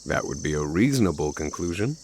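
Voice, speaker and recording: An actor playing a grave, calm, thoughtful man who speaks formally and deliberately, with quiet menace, in a video game. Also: deep voice, slight background noise. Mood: calm, musing. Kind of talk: dramatic video game voice acting.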